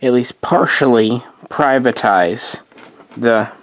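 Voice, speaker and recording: A man's voice: medium pitch, takes it slowly, dry recording. A man losing his voice, casually narrating a history explainer as host, rambling and correcting himself with offhand asides.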